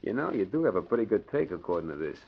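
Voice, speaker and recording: Blustering, animated small-time gangster. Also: male voice, lowish voice, fast talker, gravelly, breathy edge, exaggerated voice. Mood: bossy. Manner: slangy, blustering tough talk. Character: excitable small-time male gangster henchman, bossy and suspicious. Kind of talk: 1940s radio crime drama acting. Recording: dull old 1940s radio recording.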